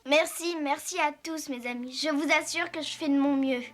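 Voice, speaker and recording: A woman voicing a young elephant king in a cartoon, an earnest and polite boy who speaks in a clearly articulated, childlike way. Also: sits high, normal speed, fairly bright tone, faint music behind. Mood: grateful, earnest. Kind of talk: French animated series dubbing.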